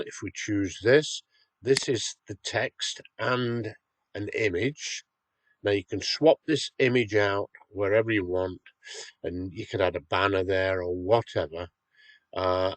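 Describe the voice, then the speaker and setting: A man with a slightly nasal, stuffed-up voice from a cold talks through a software tutorial at an unhurried pace. His voice sits fairly low, and he keeps checking in with "okay" as he guides viewers. The sound is dry and close, with no room echo. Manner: patient, step-by-step, checks in with "okay". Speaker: website owner demonstrating a tool. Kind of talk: screen-recorded software tutorial.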